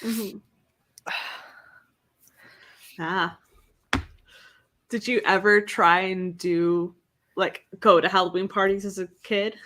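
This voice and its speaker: Brief: young woman streamer, bright, slow easy delivery, reads chat and greets viewers. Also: mid-range voice, steady tone. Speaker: livestream host. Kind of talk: casual livestream chat.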